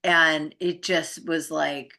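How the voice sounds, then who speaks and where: A woman with a notably low voice that still sounds bright and forward. She explains her ideas conversationally and earnestly, rambling informally and often checking in with the listener. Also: level tone, slow pace, vocal fry. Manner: informal, earnest, rambling with frequent tag questions.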